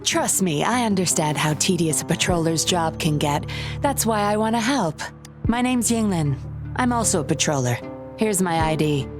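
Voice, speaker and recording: Lady doing a sly cool undercover agent for a game, teasing, lower voice, music behind her. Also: animated, normal speed, lightly acted. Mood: disarming. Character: sly, composed undercover female patroller. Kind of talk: video game voice acting.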